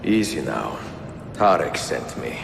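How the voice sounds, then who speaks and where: An actor playing a calm, self-assured veteran assassin in a video game, gravelly, terse and cool. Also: male voice, extremely low pitch, slow pace, noisy background, exaggerated voice. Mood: calm, confident. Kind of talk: dramatic video game acting.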